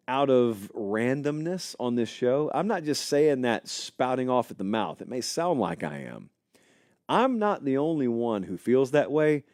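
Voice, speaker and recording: A man hosts a live sports talk show, his pitch swinging up and down as he muses and argues points with viewers. His voice is warm and mellow, recorded dry and close in a studio. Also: no echo. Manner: animated, conversational, speculative, addresses live chat. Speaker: college football show host.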